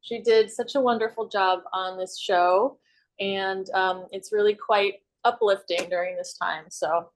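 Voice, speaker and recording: A woman's voice: mid-range voice, steady tone, a little slow. A woman host welcoming a gallery audience, speaking with fillers. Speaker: art center staff host. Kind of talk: welcoming remarks.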